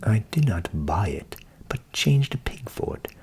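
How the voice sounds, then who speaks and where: A man's voice: lowish voice, sing-song, measured pace, darkish tone, slightly rough, voice pushed down, exaggerated voice. A man voicing a naive, cheerful, trusting young man in a storybook, with earnest exclamations. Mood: self-satisfied.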